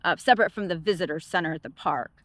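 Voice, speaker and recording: A woman's voice: on the low side, fast talker, a bit bright, no echo, studio quality. A woman with a Michigan accent co-hosting a travel vlog outdoors, chatting casually and thinking aloud as she talks. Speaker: travel vlogger. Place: outdoors at a park.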